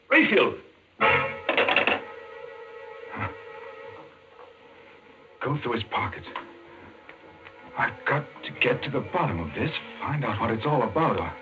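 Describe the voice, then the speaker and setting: An actor playing an earnest, indignant doctor in a 1940s radio mystery, with urgent, clipped, demanding delivery. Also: male voice, medium pitch, low-quality recording. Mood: grimly determined. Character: earnest, indignant male doctor caught up in murder.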